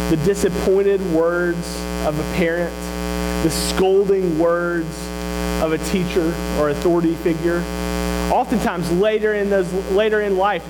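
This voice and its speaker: A guest preacher speaks from a church pulpit, nose stuffed up from a cold. The delivery is earnest and conversational, with dry asides slipped in between points. A loud, steady electrical hum runs under the whole recording. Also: medium pitch. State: congested from a cold. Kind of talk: sermon. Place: church sanctuary.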